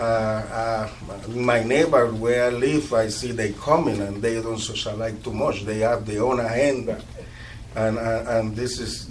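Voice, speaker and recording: A Panamanian man whose first language is Spanish speaks at a community meeting with a Spanish accent, halting and searching for words with many fillers. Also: medium pitch, recorded from a distance, a little hiss or hum, low-quality recording. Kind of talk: public comments at a meeting. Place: art gallery meeting room.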